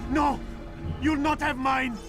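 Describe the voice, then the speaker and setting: A man's voice: very high voice, level tone, takes it slowly. An actor playing a terrified, raving male patient in a video game, desperate and frantic as he cries out. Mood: raving, accusing. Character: terrified, raving madman patient pleading and accusing. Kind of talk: dramatic video game acting. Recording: loud music under the voice.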